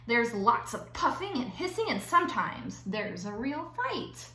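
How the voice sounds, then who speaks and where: A woman with a bright, forceful voice reads aloud to children like a playful teacher. Her pitch sits fairly high and swings widely as she stretches words for emphasis and drops in chatty asides. The room sounds very echoey. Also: very animated, a little slow. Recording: roomy echo with faint background noise.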